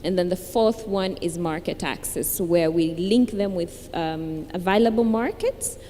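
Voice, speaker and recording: A woman's voice: measured pace, mellow. A woman introducing her work on a panel, friendly, with many fillers and restarts. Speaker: panelist, founder of an organization. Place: conference hall with audience. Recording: reverberant hall, faint rumble.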